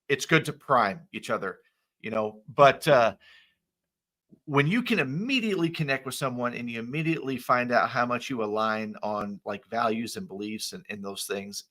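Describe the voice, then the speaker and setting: A man hosting a podcast for tradespeople. He introduces the show and asks earnest questions with some halting restarts. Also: mid-range voice, sharp tone. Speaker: podcast host. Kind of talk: podcast intro and casual interview.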